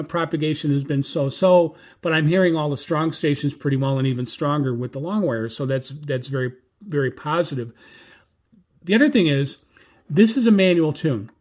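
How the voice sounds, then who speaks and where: Male product reviewer, slightly high voice, conversational and rambling, casual hands-on delivery. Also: darkish tone. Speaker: radio reviewer.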